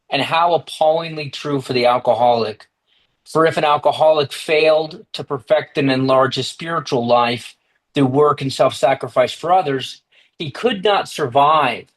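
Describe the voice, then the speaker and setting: An American man talks steadily and earnestly over a video call, sharing at a recovery meeting. His voice sits at an ordinary pitch and his pace is even. He restarts a phrase now and then. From United States. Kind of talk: personal recovery talk.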